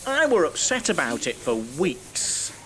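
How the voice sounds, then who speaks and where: An actor as an animated, naive Northern English bloke. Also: male voice, on the high side, unhurried, a little hiss or hum, full character voice, rough recording. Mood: earnest. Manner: blunt, earnest, rambling comic oversharing. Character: naive, lonely, sex-obsessed, chatty middle-aged man grieving his mother. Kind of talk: audio play acting.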